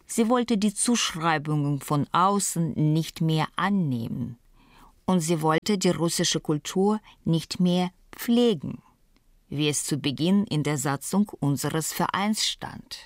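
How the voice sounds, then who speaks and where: A woman reading scripted documentary narration in a measured, even way. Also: low voice, dry recording, studio quality.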